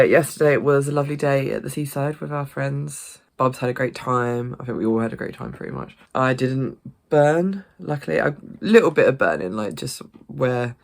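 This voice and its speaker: A woman's voice: rumbling low, vocal fry. A British woman chatting casually in a vlog, rambling and swearing freely, sounding a bit congested. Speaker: gaming vlogger.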